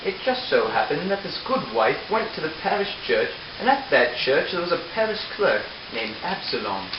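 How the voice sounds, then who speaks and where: A man's voice: fairly high pitch, big pitch swings, moderate pace, noisy background. A man reading a scripted storybook narration for a puppet show. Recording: echoey, dull lo-fi room with music. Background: music.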